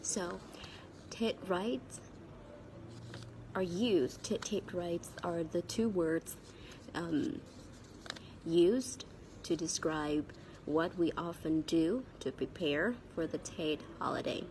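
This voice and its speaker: A Vietnamese-accented woman with a cold and sore throat gives a spoken English vocabulary lesson as a teacher, haltingly and repeating key words. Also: fairly low pitch, a little mellow, slight background noise, poor audio.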